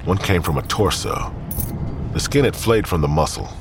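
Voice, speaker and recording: A man narrating a crime drama in a grave, measured tone. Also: sits low, animated, noisy background. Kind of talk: scripted narration.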